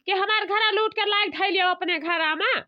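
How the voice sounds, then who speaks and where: A woman's voice: extremely high pitch, moderate pace, muffled recording. A woman voicing a bossy, aggrieved village matriarch who scolds at length in an Awadhi accent, in a comedy cartoon. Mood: indignant. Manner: indignant, long-winded scolding.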